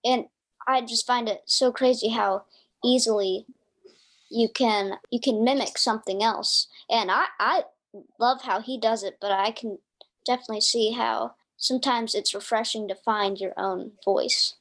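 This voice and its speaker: A woman's voice: on the high side, fairly even intonation, a little slow, a bit bright. A woman interviewing a singer in a casual conversation, asking questions and giving frequent short affirmations. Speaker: interviewer, musician.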